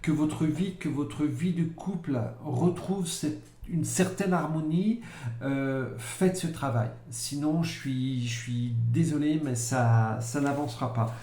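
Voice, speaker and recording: A man giving a casual monthly tarot-style forecast, talking slowly with many fillers and repeated words. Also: fairly high pitch, warm tone. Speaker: psychic card reader. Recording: echoey room, faint noise.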